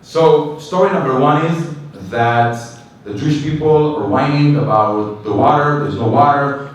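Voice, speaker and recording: A rabbi teaching a weekly Torah class to a live group, animated and joking, now and then breaking into short chanted tunes. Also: male voice, medium pitch, slight background noise. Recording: echoey hall, mic from a distance.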